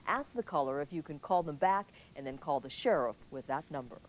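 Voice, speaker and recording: A woman's voice: low voice, poor audio. A woman reading local television news as an anchor, in scripted newscast delivery.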